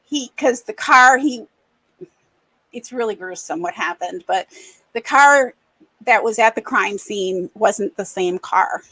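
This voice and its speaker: A woman's voice: medium pitch, pushed and bright, slightly rough, dry recording, poor audio. Earnest woman sharing a painful story. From Racine, Wisconsin, USA. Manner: earnest, reflective, halting. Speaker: podcast host. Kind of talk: candid personal conversation.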